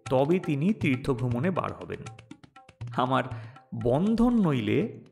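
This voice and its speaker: A man narrating a first-person audio story in a wry, theatrical, expressive way. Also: mid-range voice, takes it slowly, creaky, faint music behind. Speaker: story narrator voicing the protagonist.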